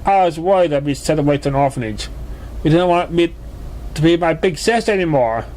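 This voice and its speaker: A man's voice: on the high side, lively intonation, fairly quick, darkish tone, slight background noise, pitched above the performer's own voice, lightly acted. A man voicing an anxious, earnest young orphan pegasus filly in a story reading. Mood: scared, apologetic.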